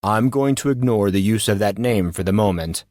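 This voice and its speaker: A man's voice: on the low side, moderate pace, a little mellow, dry recording, clean studio sound, natural voice. A man playing an earnest, indignant teenage boy in an audio drama who tries to sound dignified while interrogating. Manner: pompous, interrogating. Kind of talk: audio drama character dialogue.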